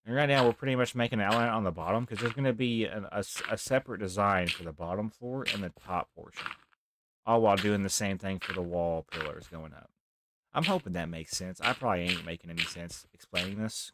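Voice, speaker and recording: A young man with a deep voice, rambling softly through casual live gameplay commentary as a streamer. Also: background noise. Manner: rambling, talks softly, near whispering.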